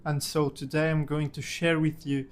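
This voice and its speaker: A man's voice: a little high, little pitch movement, moderate pace. A young man from Portugal, a native Portuguese speaker, casually telling a personal story about himself in English. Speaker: language-learning content creator.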